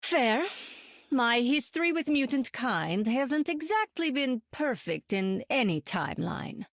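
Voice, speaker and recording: A dry, sarcastic sorceress. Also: female voice, high-pitched, big pitch swings, a little slow, dry recording, full character voice. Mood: wry. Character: wry, powerful sorceress. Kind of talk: video game voice acting.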